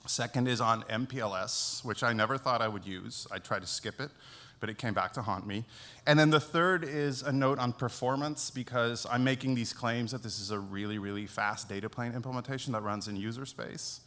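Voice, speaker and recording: A Dutch man speaking English with an American-sounding accent, presenting a technical conference talk. His voice sits at an ordinary middle pitch. He explains things in a relaxed, self-deprecating way. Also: a little fast. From Netherlands. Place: conference hall.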